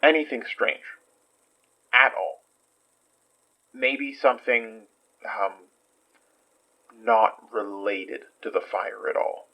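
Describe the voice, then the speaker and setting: A man playing an earnest, persistent investigator in an audio horror drama, questioning gently with careful reassurance. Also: fairly low pitch, slow pace, pauses often, muffled recording. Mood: probing, careful.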